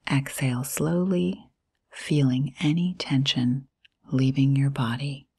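A woman with a breathy, whispery voice leading a guided meditation in a soothing, unhurried way, in short phrases with long pauses. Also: a bass voice, steady tone, mellow.

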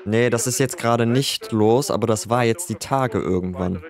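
A man's voice: mid-range voice, normal speed, a crowd in the background. A man playing a police officer in a game, chatting casually and a little grumpily about his shifts. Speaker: police officer player, streamer. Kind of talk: casual roleplay chat in a game.

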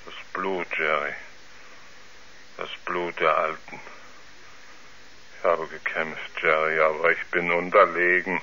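An actor playing a tormented, doomed astronaut, speaking in halting, anguished pleas in a 1970s radio drama. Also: male voice, low-pitched, flat delivery, sharp tone, full character voice. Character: tormented doomed male astronaut confessing dark horror. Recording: muffled old radio play, faint noise.

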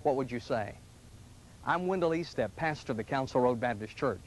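A middle-aged man, a pastor, speaking in an earnest, gentle, inviting tone in scripted television ads from the 1980s. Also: medium pitch, animated, a little fast, slight background noise, low-quality recording. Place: studio sets and church rooms, indoors. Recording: 1980s local TV ad audio.

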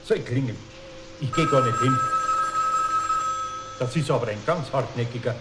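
An actor playing a good-natured, harried older master carpenter with a Bavarian accent in a children's radio play, homely and grumbling. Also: male voice, medium pitch, normal speed, very noisy, lightly acted. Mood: exasperated. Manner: homely, grumbling, chuckling indulgently.

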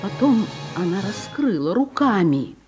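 A woman's voice: medium pitch, very animated, a little slow, over music. An actress playing a wide-eyed heroine narrating her childhood in a radio play. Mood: suspenseful.

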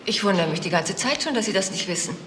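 A woman playing a senior night nurse in a crime radio play, frank and a little hesitant, talking in a thoughtful, halting way. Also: medium pitch, lively intonation, very noisy, lightly acted. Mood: explaining, frank. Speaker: actor. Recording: loud music behind the voice.

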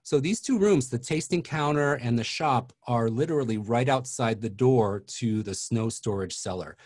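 A man's voice: mid-range voice, normal speed, a little mellow, no echo, clean studio sound. A man in his forties hosting a video call interview, asking friendly leading questions. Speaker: host.

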